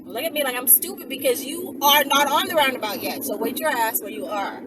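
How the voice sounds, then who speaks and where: A woman's voice: sits high, brisk, distant mic. A woman rambling casually in an emphatic, profane storytelling manner, like a vlogger talking to followers. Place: inside a car while driving. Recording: noisy car, voice away from mic.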